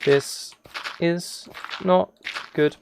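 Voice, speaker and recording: A man's voice: a little high, fairly even intonation, very slow, creaky, a little hiss or hum. A British man streaming a video game, chatting casually in a rambling, self-deprecating and apologetic way. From United Kingdom. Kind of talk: casual livestream chat while gaming.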